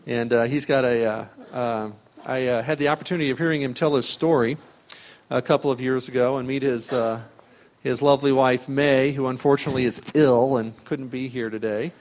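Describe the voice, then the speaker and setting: A man introducing a guest speaker at a church gathering, halting often with fillers. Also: mid-range voice, fairly even intonation, darkish tone, a little hiss or hum.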